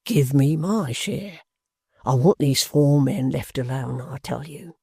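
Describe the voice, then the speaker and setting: A man voicing a sly, menacing male hangman who coaxes in a slow, low voice. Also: lively intonation, gravelly, darkish tone, slightly rough, dry recording, studio quality, full character voice. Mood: wheedling.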